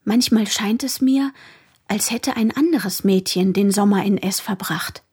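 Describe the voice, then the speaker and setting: A woman's voice: mid-range voice, a little slow, a little mellow, husky. An actress playing an earnest, self-disciplining teenage girl with romantic ambitions, speaking as an inner voice in a literary radio play. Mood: earnest, reflective.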